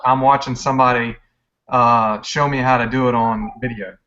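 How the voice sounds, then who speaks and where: An easygoing man answers questions on a live video call in a modest, relaxed way. His voice is bright and forward, with a tense edge. The audio is dull and lo-fi. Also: medium pitch, normal speed. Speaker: guest. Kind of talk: casual live video chat.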